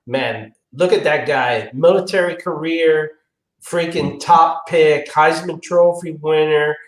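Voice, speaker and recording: Higher-voiced man in an echoey room. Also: slow. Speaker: podcast co-host. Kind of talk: casual sports banter.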